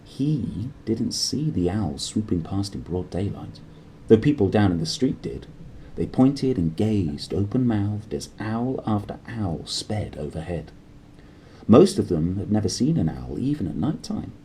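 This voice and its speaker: A man reading a story aloud as scripted narration, in a theatrical, expressive manner. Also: lowish voice, a little slow, a little hiss or hum, poor audio. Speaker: audiobook reader.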